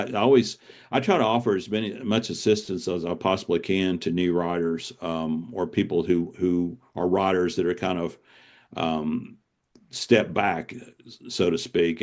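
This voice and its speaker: Older man, deep-pitched voice, earnest, reflective, conversational interview guest, repeats words for emphasis. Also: darkish tone.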